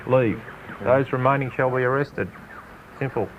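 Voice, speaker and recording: A man's voice: medium pitch, moderate pace, slight background noise. Tinny field recording, curt man. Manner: curt, firm. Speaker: official clearing a protest area. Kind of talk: giving orders in the field. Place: outdoors.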